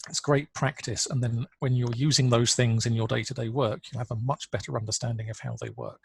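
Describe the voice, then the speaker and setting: A man giving an online conference talk in a relaxed, conversational way, with fillers, over a video call. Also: medium pitch, brisk, gravelly, slight background noise. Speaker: conference speaker.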